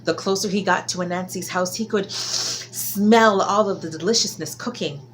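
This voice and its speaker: A woman telling a folktale to children in an expressive style, stretching key words for dramatic emphasis. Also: medium pitch, moderate pace, slight background noise, rough recording. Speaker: children's storyteller.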